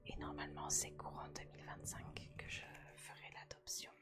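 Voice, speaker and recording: A woman speaking in a breathy, unvoiced whisper, chatting casually and unhurriedly to viewers in an ASMR style. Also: a bass voice, monotone, moderate pace. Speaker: ASMR video creator and host.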